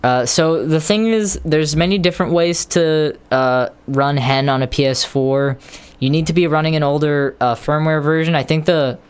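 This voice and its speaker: A man's voice: on the high side, normal speed, smooth. A man casually rambling on a live stream, hesitating with lots of ums and restarts while explaining tech steps to viewers.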